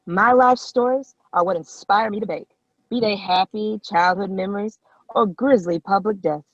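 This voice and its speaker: Woman playing doomy donut baker lady, deadpan, middle pitch, kinda lo-fi sound, comedy read. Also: moderate pace, no room sound, close to an everyday voice. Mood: solemn, deadpan. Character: tragedy-steeped woman donut baker, solemnly morbid. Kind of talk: comedy script table read. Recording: slightly dull, lo-fi, dry sound.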